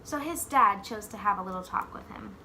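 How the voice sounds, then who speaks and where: A woman reading a picture book aloud like a teacher, expressively, pausing to put simple questions to young children. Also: medium pitch, expressive, bright, forceful tone, slight background noise. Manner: pauses to ask simple questions and echo the answers.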